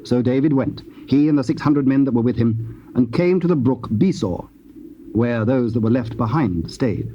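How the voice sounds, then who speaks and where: A man narrating dramatized scripture in a measured, solemn manner. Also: medium pitch, expressive. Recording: dull, faintly noisy old cassette.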